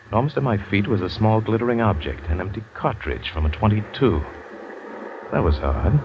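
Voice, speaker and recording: Deep-voiced shipwrecked hunter in radio drama. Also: male voice, expressive, normal speed, background noise. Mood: suspenseful. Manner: dramatic, vivid storytelling. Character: shipwrecked American big-game hunter narrating his ordeal. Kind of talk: old-time radio drama first-person narration. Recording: dull vintage radio recording, music behind.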